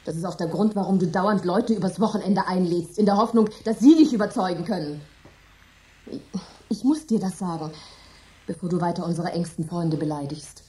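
A woman's voice: fairly low pitch, fairly quick, warm tone, close to an everyday voice. An actress playing a grave, earnest wife who speaks solemnly and confronts her husband in a radio play. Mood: confronting. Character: Rachel, ominous earnest wife.

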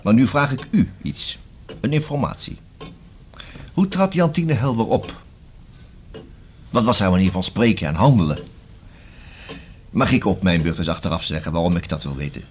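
A male actor in a Dutch radio play as a probing, self-assured police inspector who questions deliberately and dryly, with a husky voice. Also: fairly low pitch, expressive, slight background noise, close to an everyday voice. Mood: insistent. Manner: deliberate, rhetorical questioning with emphatic repeats.

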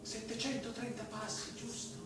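An actor playing a wily investigating magistrate who needles with feigned innocence in a theatrical radio drama. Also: male voice, very high-pitched, little pitch movement, moderate pace. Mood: needling. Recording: very echoey, distant, noisy radio recording.

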